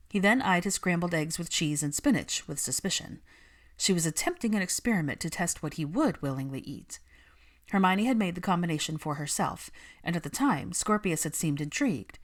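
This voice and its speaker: A woman reading scripted fiction aloud as an audiobook narrator. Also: sits low.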